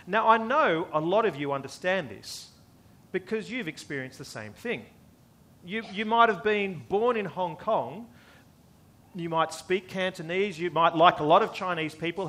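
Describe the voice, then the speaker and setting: A man's voice: a little high, fairly bright tone. A man with an Australian accent preaching a sermon, posing questions to his listeners and repeating phrases for emphasis. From born in the US, lived most of his life in Australia. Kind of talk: expository sermon to a congregation.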